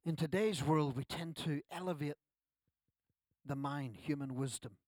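A man's voice: on the high side, a little slow, darkish tone, no echo, clean studio sound. A man, preaching a sermon at a church service in a conversational way with self-deprecating asides. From Ireland. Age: 60s. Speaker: pastor.

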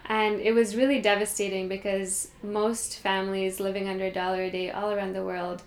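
A young woman from Kenya speaks in an earnest, storytelling way and fills her pauses with ums. Her voice stays level, with little rise and fall. The room around her is echoey. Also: mid-range voice, little pitch movement. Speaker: guest, company founder. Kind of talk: conversational interview. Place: meditation studio.

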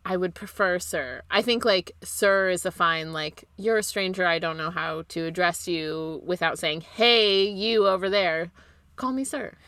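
A woman's voice: fairly low pitch, a little slow, pushed and bright, clean tone, no room sound. A young woman co-hosting a podcast, chatting casually with swearing in a blunt, rambling way. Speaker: podcast co-host.

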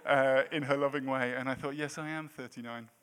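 A man's voice: mid-range voice, steady tone, brisk, fairly bright tone, clean tone. A man in his late thirties preaching a sermon in a church, with a self-deprecating, jokey manner between points. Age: 30s. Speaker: pastor.

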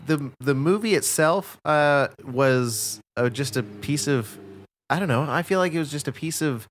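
A man's voice: on the high side, lively intonation, measured pace, slight background noise. A man co-hosting a casual movie podcast, rambling through his points with frequent restarts. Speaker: podcast co-host. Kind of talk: casual chat.

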